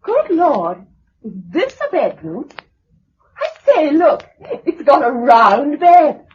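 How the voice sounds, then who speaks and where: Spirited well-bred young woman, upper-class British accent, refined, radio drama, swooping pitch, dull old recording. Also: sing-song, takes it slowly, full character voice. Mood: delighted, playful. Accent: upper-class British English. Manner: refined, playful.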